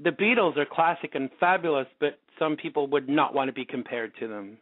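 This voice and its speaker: A man's voice: a little high, brisk, dry recording. A male podcast host in a casual interview chat, talkative and self-deprecating, laughing often. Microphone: call-in radio show line. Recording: tinny phone-line sound.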